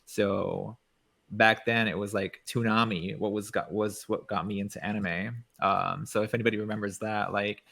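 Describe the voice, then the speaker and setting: A man's voice: on the low side, level tone, moderate pace, gravelly, bright, forceful tone. A man from Puerto Rico whose first language is Spanish, chatting loosely as an interview guest with many fillers. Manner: loose, rambling, heavy with fillers. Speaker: guest translator. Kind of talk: casual interview chat.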